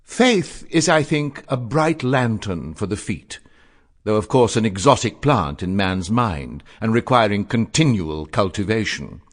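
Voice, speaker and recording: An actor playing a witty, dandified, eloquent young letter writer in a theatrical, florid, articulate reading of letters. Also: male voice, on the low side. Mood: earnest, wry. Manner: florid, expressive, measured and articulate.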